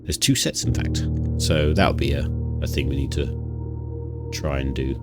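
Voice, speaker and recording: Very deep-voiced man with a cold. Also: moderate pace. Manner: rambling, thinking aloud with restarts. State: stuffy nose from a cold. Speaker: gaming channel host. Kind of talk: casual let's-play commentary. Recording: music playing under the voice. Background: new-age music.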